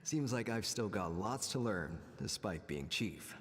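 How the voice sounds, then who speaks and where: Hearty chief, lively low voice. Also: male voice, measured pace. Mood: curious. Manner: friendly, expressive. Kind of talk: video game voice acting. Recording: long hall reverb, music clearly underneath.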